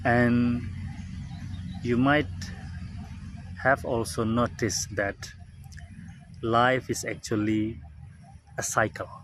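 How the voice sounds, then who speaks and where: A man in his forties whose first language is Indonesian, speaking slowly and reflectively with many pauses and fillers, outdoors under a tree. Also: medium pitch, fairly even intonation. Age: 40s. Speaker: vlogger. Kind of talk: reflective talk to camera. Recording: clear outdoor background noise. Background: birds, traffic and outdoor ambience.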